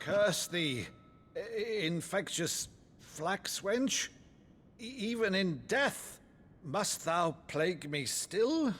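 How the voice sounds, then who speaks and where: Theatrical, unhurried old nobleman. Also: high voice, animated, background noise, heavily acted. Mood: theatrical menace. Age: older. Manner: theatrical, grandiloquent. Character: blustering, warm-hearted old nobleman uncle, theatrical and grand. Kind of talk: dramatic video game acting.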